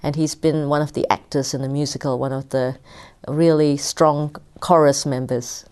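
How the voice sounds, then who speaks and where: Low-voiced woman hosting a talk show. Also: steady tone, moderate pace, smooth. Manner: warmly encouraging, with frequent mm-hmms and hesitant ums. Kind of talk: hosting an interview.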